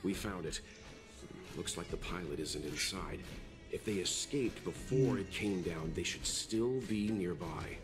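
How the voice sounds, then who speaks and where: An actor playing a calm, serious and protective male adventurer in a video game, with composed, earnest delivery. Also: deep voice, a little slow. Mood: serious, urgent. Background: game music.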